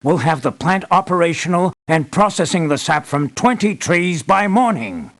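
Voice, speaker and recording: Earnest, lively-pitched male mission leader. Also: moderate pace. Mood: urgent, concerned. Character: earnest, measured male leader financing an island operation. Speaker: mission briefer. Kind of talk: scripted video game voice acting.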